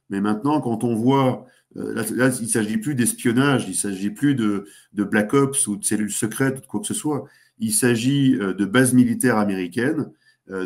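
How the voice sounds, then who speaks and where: A man with a lowish voice talks through political analysis as a studio guest. He hesitates constantly, circling back with fillers and restarts before landing his point. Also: a little mellow. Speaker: guest commentator. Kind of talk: geopolitical analysis in a talk show.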